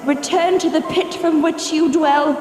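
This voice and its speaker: A resolute, earnest young heroine speaks in a slightly high, theatrical voice. She sounds like the burdened lead of a horror audio drama, ringing with reverb over clear music. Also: steady tone, measured pace, darkish tone. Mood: defiant incantation. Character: young woman burdened with banishing evil, frightened yet resolute.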